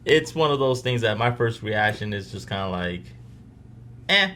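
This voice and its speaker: A man co-hosting a casual sports podcast, relaxed and questioning as he lays out his view. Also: on the low side, normal speed. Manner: relaxed, questioning, laughs easily. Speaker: podcast co-host.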